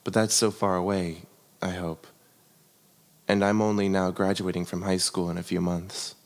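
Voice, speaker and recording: Young adult male, low register, reading aloud with measured sincerity, voice washed in long reverb. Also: little pitch movement. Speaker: reader of a family letter. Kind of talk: expressive reading aloud of a letter.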